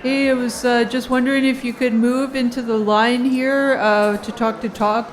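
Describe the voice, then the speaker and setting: A woman's voice: a little high, level tone, normal speed, voice pushed up, natural voice. A woman voicing a flustered, obliging helper trying politely and nervously to steer a crowd in an improvised comedy audio drama.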